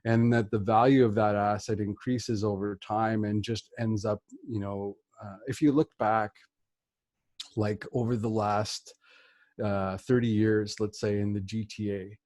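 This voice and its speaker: Low, even-toned middle-aged Canadian man. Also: measured pace, no echo. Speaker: interview guest, real estate investor and coach. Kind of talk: informal spoken self-introduction in an interview.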